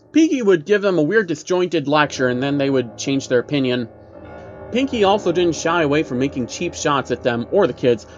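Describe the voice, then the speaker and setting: Wry male narrator with animated pitch. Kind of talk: scripted storytelling narration in a casual reviewer's voice. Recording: dull lo-fi recording with faint noise.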